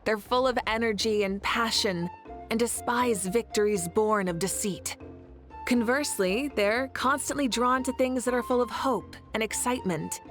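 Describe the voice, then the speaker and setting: A woman's voice: fairly high pitch, moderate pace, close to an everyday voice. A woman voicing a confident, passionate adult ruler in a game, teasing and laughing easily. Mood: explaining. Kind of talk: game voice acting. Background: faint game music.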